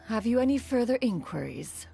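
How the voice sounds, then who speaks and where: A woman playing a dignified immortal crane master in a video game, speaking formally and archaically with measured, aloof care over background music. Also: a little high, big pitch swings, vocal fry, mellow. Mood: composed, explanatory. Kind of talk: scripted video game voice acting.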